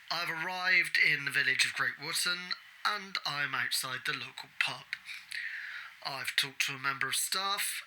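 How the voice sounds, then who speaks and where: Man as a slow, sharp-voiced investigator. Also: a little high, rough recording. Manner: formal, deliberate. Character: methodical 1980s investigator dictating case notes. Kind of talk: dictated log, audio drama.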